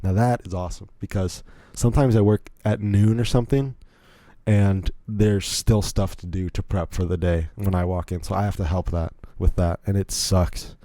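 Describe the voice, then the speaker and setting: Low, mellow-voiced American man rambling. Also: steady tone, normal speed, no echo. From Virginia, United States. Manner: rambling, chatty, self-interrupting asides. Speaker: podcast host. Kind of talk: casual solo podcast storytelling.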